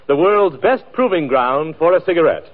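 Old-time american radio ad guy, formal and polished, slightly high voice, narrow muffled sound. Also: animated, moderate pace. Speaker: radio announcer. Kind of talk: 1940s radio ad read.

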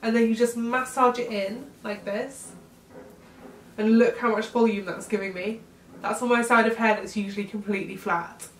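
Young woman, nasal from a cold, chatty vlogger; bright-ish voice, distant roomy sound with faint background hum. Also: on the high side, steady tone, normal speed. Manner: chatty, upbeat, often trails off while styling hair. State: congested from a cold. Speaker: beauty vlogger demonstrating a hairstyle. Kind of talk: casual how-to tutorial. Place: bedroom, indoors.

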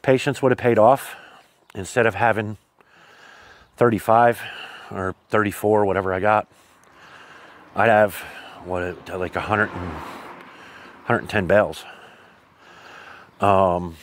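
A man's voice: on the low side, fairly even intonation, slow pace, pauses often, slight background noise. Rambling American man vlogging on a farm. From United States. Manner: rambling, thinking aloud with many fillers and restarts. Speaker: homesteader vlogger. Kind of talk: casual vlog talk to camera. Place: farm shed, yard and barn, partly outdoors. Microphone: clip-on lapel mic.

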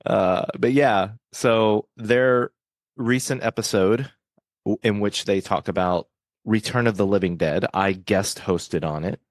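Man co-hosting a podcast, low-pitched, jokey, on a dry close mic. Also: unhurried, no room sound. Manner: jokey, laughs often, upbeat plugs. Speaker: podcast co-host. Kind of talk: casual movie podcast chat.

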